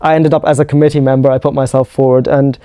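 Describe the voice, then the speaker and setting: A man's voice: mid-range voice, flat delivery, fast, dark tone, dry recording, clean studio sound. A young man in his twenties from New Zealand, a university student as guest, speaking reflectively with light laughs. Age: 20s. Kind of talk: casual interview.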